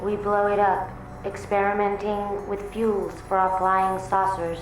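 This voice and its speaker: An echoing, slow-spoken alien guide played by a woman, serene and detached. The delivery is deadpan and unemotional with barely any movement in pitch, as in a radio play. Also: close to an everyday voice. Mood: detached calm. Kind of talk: radio drama acting. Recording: echoing voice over prominent music.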